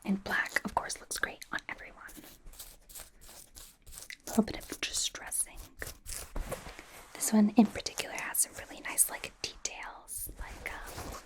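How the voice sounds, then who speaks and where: A woman playing a bubbly young female K-pop idol as a doting stylist, whispering slowly and reassuringly in an ASMR roleplay. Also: natural voice. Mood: encouraging, attentive. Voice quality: breathy whisper. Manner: gushing, reassuring, chatty with trailing repeats. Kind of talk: ASMR roleplay, personal attention.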